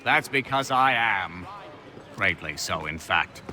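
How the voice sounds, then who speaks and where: Game role: genial, grumbling old gentleman, low-pitched and forceful, florid old-fashioned phrasing. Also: lively intonation, slow pace, exaggerated voice. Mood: troubled. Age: older. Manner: wordy, exclamatory, genteel old-fashioned phrasing. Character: grumbling, genial old gentleman inventor, robbed of his almanac. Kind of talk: video game character acting.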